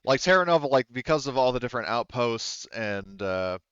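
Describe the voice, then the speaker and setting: A man's voice: medium pitch, lively intonation, brisk, fairly bright tone, clean tone, no echo, dull audio. A man narrating a tabletop game as game master in a conversational, explanatory way.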